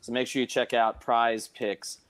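A man's voice: medium pitch, flat delivery, moderate pace, a bit bright. A man hosting a sports talk show in a casual, conversational style. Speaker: podcast host.

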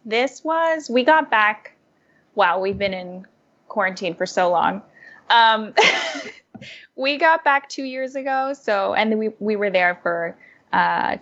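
A young adult woman being interviewed, giving thoughtful, animated answers with fillers and restarts. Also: mid-range voice. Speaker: guest, former diplomat and food blogger. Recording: muffled lo-fi audio.

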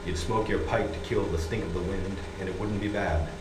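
A man performs a weathered lighthouse keeper narrating a horror radio play in a low voice. He savors each detail with theatrical, deliberate phrasing, inside an echoey stone space with some background noise. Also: steady tone, lightly acted. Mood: vivid, wistful. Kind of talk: dramatic first-person radio play narration. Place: on location inside an unused lighthouse.

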